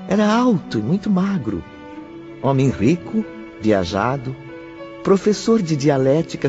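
A man acting as the reflective narrator of a radio drama, in a literary, theatrical manner. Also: mid-range voice. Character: reflective narrator, recounting memories of a friend's circle. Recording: dull lo-fi radio drama, music behind.

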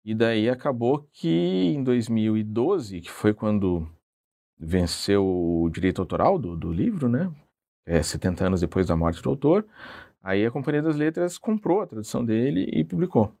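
A man's voice: fairly low pitch, animated, moderate pace, creaky, mellow. A man, a translator telling his story in an interview, hesitant and self-deprecating with many restarts. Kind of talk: relaxed conversational storytelling.

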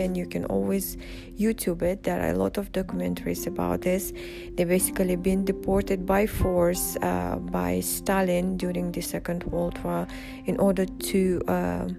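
Slow, husky-toned woman. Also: fairly low pitch. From Uzbekistan. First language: Russian. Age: 40s. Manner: unhurried and reflective, with frequent pauses. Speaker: vlogger. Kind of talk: personal storytelling vlog. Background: background music.

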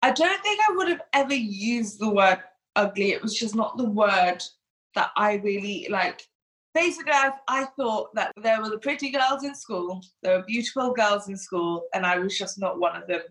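A woman's voice: medium pitch, expressive, normal speed, fairly bright tone, low-quality recording. A woman, grew up in Hong Kong, talking chattily as an interview guest and using lots of fillers. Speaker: guest, body confidence coach. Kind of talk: casual interview talk.

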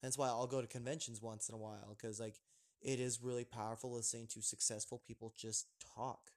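A man's voice: medium pitch, flat delivery, a little fast, darkish tone. A young man hosting a casual podcast chat, rambling with lots of fillers and restarts. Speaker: podcast host.

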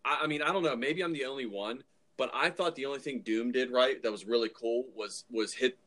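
A man with an average-pitched voice talks as a podcast co-host. His delivery is informal and opinionated, leaning on emphasis as he makes each point in casual discussion. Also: brisk, fairly bright tone.

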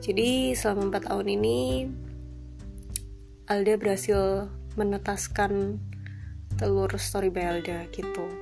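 A young woman with a light Javanese accent talks through her solo podcast, chatty and self-deprecating, slipping English phrases in here and there. Her voice sits at an ordinary pitch and her intonation stays a little flat. Clear music plays underneath her voice. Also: a little slow, pauses often. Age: 20s. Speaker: podcast host. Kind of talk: casual solo podcast monologue.

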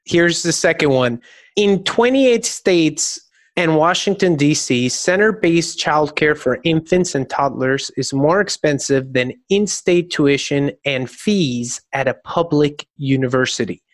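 Measured male podcast host, dry mic. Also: fairly high pitch, animated, dry recording. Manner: reads facts and intro in a measured scripted way. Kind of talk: podcast interview.

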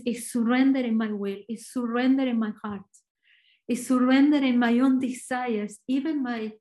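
A woman with an Argentinian accent giving a short, earnest devotional talk, reflective and pausing. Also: fairly high pitch, dark tone. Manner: earnest, reflective, slow pauses. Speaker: church speaker.

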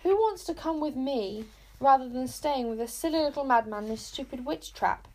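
A woman's voice: a little high, fairly even intonation, brisk, lightly acted, poor audio. A woman voicing a mocking boy wizard who bullies his rival, taunting and crowing. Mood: outraged.

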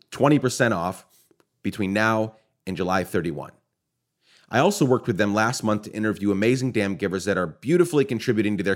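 A man hosting a podcast, enthusiastic and effusive, often stumbling and restarting his sentences. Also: fairly low pitch. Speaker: podcast host. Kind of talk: casual podcast interview. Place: Nashville.

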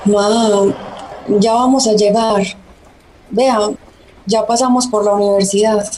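An actress playing a caring young daughter with a Colombian accent in a radio drama. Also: female voice, mid-range voice, fairly even intonation, slow, noisy background, natural voice. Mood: gentle, coaxing. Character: caring young daughter looking after her mother.

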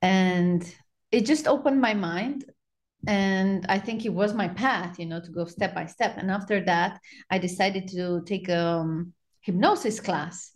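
A woman's voice: mid-range voice, a little slow. A woman from Romania with a Romanian accent, a podcast guest telling her personal story in casual conversation. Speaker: podcast guest, spiritual practitioner.

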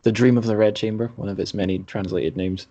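A man's voice: lowish voice, fairly even intonation, fairly quick, dark tone. A man hosting a podcast interview in an informal, self-deprecating, chatty way. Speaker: podcast host. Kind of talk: casual chat, interviewing.

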